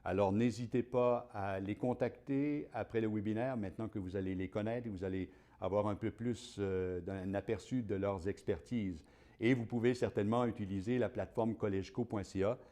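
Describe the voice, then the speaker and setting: Un homme qui anime un webinaire en studio, chaleureux et hésitant, avec beaucoup de « euh ». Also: male voice, lowish voice, fairly even intonation, normal speed, warm tone. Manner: friendly, many fillers. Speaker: webinar host. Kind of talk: hosting a live webinar.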